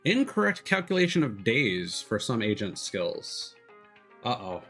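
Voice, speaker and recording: A man streaming a video game, talking casually and explaining things in a halting, analytical way. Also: lowish voice, sing-song, gravelly, fairly bright tone. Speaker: streamer, host of a gaming live stream. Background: background music.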